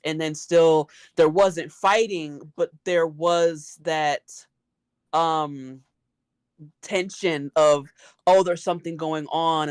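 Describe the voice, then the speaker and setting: A woman's voice: sits low, dry recording. A woman talking casually as a guest on a podcast panel.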